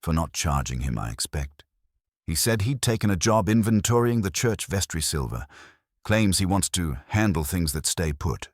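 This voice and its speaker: Man acting as radio play sergeant, matter-of-fact, very deep, lively intonation, plain reporting. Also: normal speed, no echo, studio quality.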